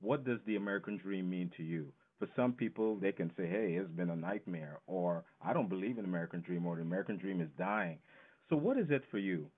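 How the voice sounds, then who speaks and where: Low-voiced man on a tinny phone line. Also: brisk, husky. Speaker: financial educator, interview guest. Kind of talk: explanatory radio interview talk.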